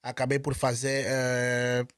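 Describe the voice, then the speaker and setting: Dry-voiced Angolan man, halting speech. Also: medium pitch, completely flat delivery, fairly bright tone, smooth, no room sound. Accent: Angolan Portuguese. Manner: hesitant, with many repeated words and restarts. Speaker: interview guest. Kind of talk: casual interview conversation.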